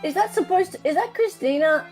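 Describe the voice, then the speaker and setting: A woman hosting casual commentary in an animated, blunt way. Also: extremely high pitch, a little fast, faint music behind. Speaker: host.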